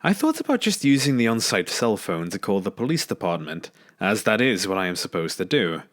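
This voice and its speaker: A man narrates a first-person scary story from a script. His voice is on the low side. His intonation moves widely and keeps the reading animated. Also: brisk.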